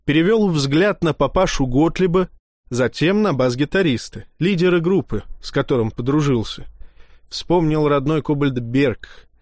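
Lively male audiobook narrator, dry sound. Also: fairly high pitch, moderate pace, no echo. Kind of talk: audiobook reading of a fantasy tale.

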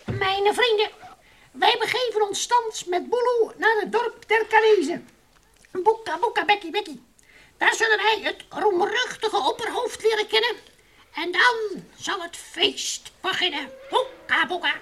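A man's voice: extremely high pitch, a little slow, pushed and bright, full character voice. A man voicing a cheeky, bossy, secretive animal companion in a children's radio play, speaking pompously with squawked nonsense words.

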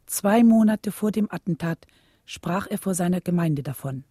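A woman's voice: fairly low pitch, expressive, moderate pace, mellow, breathy edge, no room sound, studio quality. A woman narrating a scripted radio drama in a sober, factual storytelling manner. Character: sober narrator recounting a historic killing.

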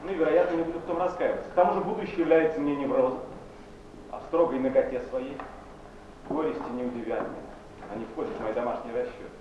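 A man's voice: medium pitch, fast talker, noisy background, natural voice. An actor playing a sober, resigned poet in his thirties about to marry, reciting in a theatrical stage style. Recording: echoey distant muffled 1970s theatre recording.

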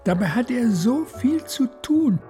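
A woman's voice: a little high, lively intonation, a little slow, dark tone, faint music behind. A woman narrating a radio play in scripted literary prose.

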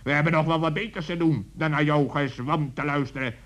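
An actor voicing an eager, bossy, teasing talking animal in a children's radio play. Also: male voice, fairly high pitch, steady tone, a little fast, a bit bright, natural voice. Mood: bossy, excited. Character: excitable, gleeful talking forest animal, mischievous.

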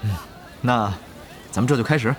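A man's voice: medium pitch, normal speed, a faint crowd, lightly acted. A man playing a practical young guild leader in a gaming audio drama, earnest and easily exasperated. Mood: polite, questioning. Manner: earnest, flustered, expressive. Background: faint music.

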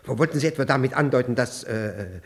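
An actor playing a nervous, fussy middle-aged husband who stammers and repeats himself, in a 1960s radio play. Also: male voice, mid-range voice, lively intonation, fairly quick, breathy edge. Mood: indignant.

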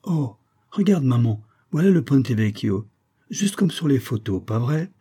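A man playing a grumbling, suspicious tourist husband, gruff, sardonic and colloquial. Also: medium pitch, sing-song, unhurried, mellow, pitched above the performer's own voice. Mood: curious.

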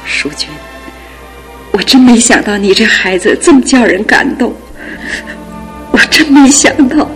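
A woman's voice: expressive, unhurried, long pauses. An actress playing a grieving older mother, tired and sighing, in a radio drama with music behind. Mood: moved. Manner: tired, sighing and low-voiced.

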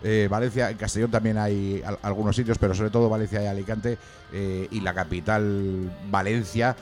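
A man's voice: fairly low pitch, flat delivery, moderate pace, darkish tone, faint music behind. A man hosting a rock podcast interview, talking in a chatty way with many fillers and restarts. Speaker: podcast host. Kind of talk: casual radio interview.

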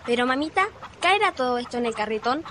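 A Chilean actress playing an obedient young daughter in a radio drama. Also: female voice, on the high side, animated, fast talker, a bit bright, a little hiss or hum. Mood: dutiful. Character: obedient young daughter helping her mother pack. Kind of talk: radio play acting.

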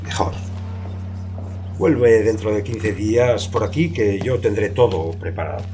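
An actor playing a kindly, solemn village priest in a radio drama, measured and paternal in manner. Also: male voice, lowish voice, dark tone, soft background music, close to an everyday voice. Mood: benevolent, inquiring. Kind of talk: radio play acting.

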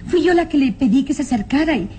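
High-voiced, earnest young daughter. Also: female voice, expressive, fast talker, darkish tone. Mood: pleading. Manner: earnest, pleading. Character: anxious, pleading, dutiful young daughter of baron. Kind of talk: old radio drama acting.

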